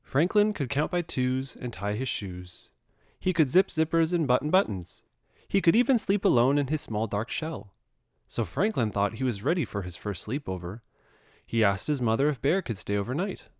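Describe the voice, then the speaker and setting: A man narrates a children's picture book in an expressive read-aloud style. His pitch rises and falls in a lively way, and the recording is close and dry. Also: moderate pace, no echo. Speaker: storybook reader.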